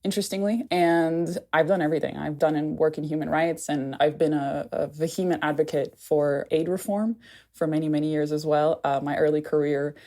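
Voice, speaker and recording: A woman from Haiti with an American accent, speaking as an anthropologist in a candid, animated interview full of "like". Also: low-pitched, a little fast. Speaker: anthropologist and podcast guest. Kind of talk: conversational interview.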